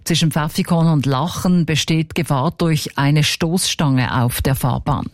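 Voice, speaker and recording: A woman's voice: low voice, unhurried, a little mellow. A woman with a Swiss German accent presenting as a radio host.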